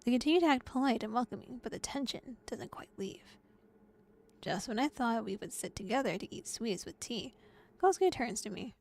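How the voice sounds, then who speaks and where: A young adult woman streaming, narrating a visual novel aloud and breaking into excited, laughing reactions. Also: a little high, normal speed, vocal fry. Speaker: VTuber streamer.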